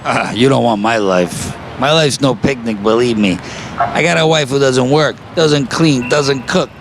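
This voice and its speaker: Man acting gravelly, sardonic New construction worker. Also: medium pitch, measured pace, close to an everyday voice. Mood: weary, sour. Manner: sardonic, needling. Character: blunt, wisecracking, jaded male construction worker. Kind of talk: naturalistic audio play dialogue. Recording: noisy city street with traffic. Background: urban street ambience, vehicles.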